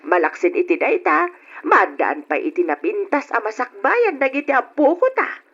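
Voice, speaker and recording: Radio drama, lo-fi: a firm, nagging old wife, lively high delivery, worried for her family. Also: moderate pace, breathy edge, heavily acted. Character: scolding elderly wife and worried mother, firmly opinionated. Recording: dull lo-fi old radio recording.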